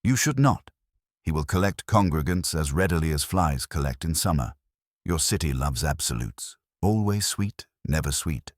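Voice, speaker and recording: Guy doing a pompous old detective for a mystery audiobook, super deep voice, formal, lots of rise and fall. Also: expressive, normal speed, no echo, studio quality. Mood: lofty, disapproving. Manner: formal, epigrammatic, pronouncing judgments. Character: ponderous, haughty detective genius, a pedantic older man.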